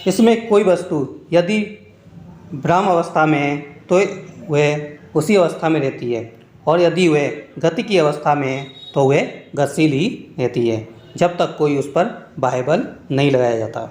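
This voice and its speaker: Guy doing exam lesson narration, voice a bit high, reads out points one by one, lots of room echo. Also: measured pace, darkish tone. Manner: instructional, reading out points one by one. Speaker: exam-prep teacher and video host. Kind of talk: scripted lesson narration with short explanations. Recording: echoey room.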